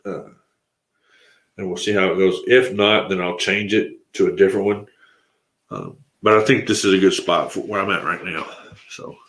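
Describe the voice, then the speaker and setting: A man's voice: low voice, fairly even intonation, normal speed, fairly bright tone. A congested man hosting a casual live stream, talking in a rambling, laid-back way with lots of fillers. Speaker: livestream host. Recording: roomy echo.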